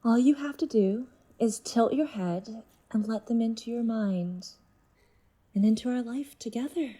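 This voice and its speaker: A woman's voice: on the high side, a little slow, mellow. A woman playing a manipulative alien creature in a human body, coaxing and controlling, in an audio drama. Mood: tender, seductive. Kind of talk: fiction podcast audio drama acting.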